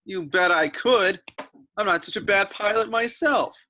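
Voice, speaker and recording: A woman's voice: mid-range voice, unhurried, pushed and bright. A woman playing an eager, defensive young male adventurer in a sci-fi script reading. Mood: indignant. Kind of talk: script table read.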